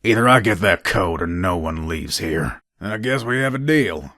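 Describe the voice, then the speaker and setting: A shrewd male gun trader and robber from a video game, played by a male actor. His voice sits a little low and moves up and down a lot as he makes his pitch. He is casual and businesslike, a hard bargainer, and the recording is close and dry. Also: animated, moderate pace, sharp tone, dry recording. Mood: cool, firm. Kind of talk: video game character dialogue.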